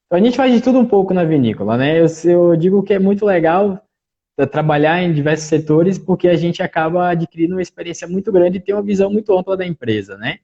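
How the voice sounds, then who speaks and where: A man with a mix of Bahian and southern Gaúcho accents, talking as a winemaker guest in a relaxed live interview, often tagging "né". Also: high-pitched, fast, muffled recording. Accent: mixed Bahian and Gaúcho Brazilian Portuguese. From Salvador, Bahia, Brazil. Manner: relaxed, talkative, frequent "né" tags.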